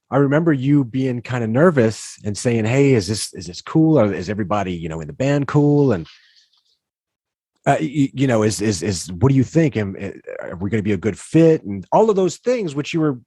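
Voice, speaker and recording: A man's voice: mid-range voice, animated, moderate pace, warm tone, husky, no room sound, clean studio sound. A man hosting a casual interview podcast, talking loosely with lots of restarts and fillers. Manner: rambling, many restarts and fillers. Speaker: podcast host.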